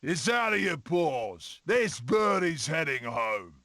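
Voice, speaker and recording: A man voicing a cocky, eccentric weasel survivalist for an animated game, with a British accent, a gravelly voice and theatrical, bossy swagger. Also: on the high side, slow pace, a little hiss or hum, exaggerated voice. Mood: resolute. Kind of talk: animated game character acting.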